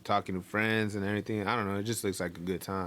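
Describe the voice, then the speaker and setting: A young man from California on a podcast, talking in a fast, slangy, laid-back way with friends. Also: fairly low pitch, little pitch movement, a bit bright. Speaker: podcast co-host. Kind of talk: casual chat.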